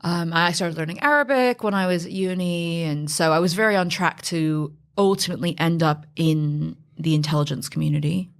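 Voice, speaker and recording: A woman from Silicon Valley, a fintech founder chatting as an interview guest, self-deprecating and quick to laugh. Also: lowish voice. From Silicon Valley, USA. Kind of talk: conversational interview.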